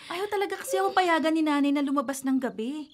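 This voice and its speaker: An actress playing a timid, worried young daughter who hesitates before sneaking out, in a radio drama. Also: female voice, high voice, animated, fast talker, a crowd in the background.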